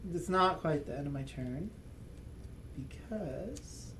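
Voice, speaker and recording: A man talking his way through a solo board game at an unhurried pace, pausing to think and laughing softly at his own jokes. His voice sits fairly light for a man. A faint hiss of noise lies under it. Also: fairly high pitch, lively intonation. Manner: thinks aloud, chuckling, self-mocking asides; speaks as a loud, projecting voice. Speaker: board game channel host. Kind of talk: casual solo playthrough commentary.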